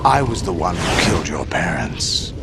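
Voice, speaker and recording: An actor playing a scarred old warlord and foster father who speaks in a grave, slow and solemn way, in a video game cutscene. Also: low-pitched, sing-song, loud music behind the voice. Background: loud score.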